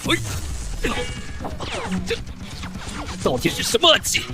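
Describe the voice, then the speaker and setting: A man voicing an arrogant, wrathful old cultivator, pompous and menacing, in a fantasy animated comic. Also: slow pace, soft background music. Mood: alarmed. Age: older.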